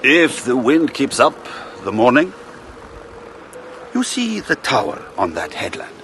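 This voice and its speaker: A man's voice: medium pitch, huge pitch swings, measured pace, a bit bright, slight background noise. A man playing a worldly, wry ship's captain in an audio drama, telling tales in a knowing, teasing way. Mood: eerie storytelling.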